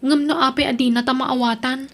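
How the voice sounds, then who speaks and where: High-pitched young student played by a woman. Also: steady tone, brisk, fairly bright tone. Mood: sad. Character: Christine, young female student, tenant and girlfriend. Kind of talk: Ilocano audio drama acting.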